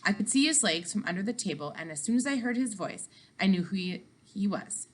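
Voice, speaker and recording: A woman narrating a children's book aloud with animated, dramatic emphasis. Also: mid-range voice, a little fast. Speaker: read-aloud storyteller.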